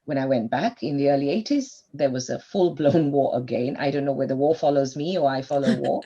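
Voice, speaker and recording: A woman's voice: extremely low pitch, brisk, warm tone, dull audio. A woman in her fifties from Sri Lanka, a doctor guest on a podcast, reflectively telling her life story at length. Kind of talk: podcast interview.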